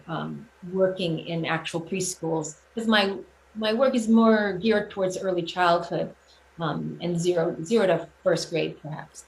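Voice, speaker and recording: Middle-aged woman, reflective, in a roomy echo. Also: on the low side. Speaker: guest, children's music performer and teacher. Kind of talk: conversational interview answers about own career. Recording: roomy echo, clear background noise.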